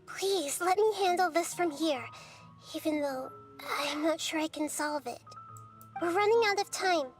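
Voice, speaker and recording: An actress voicing a small, calm young goddess who speaks softly and reassuringly, in game audio over music. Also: female voice, extremely high pitch. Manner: soft, measured and reassuring.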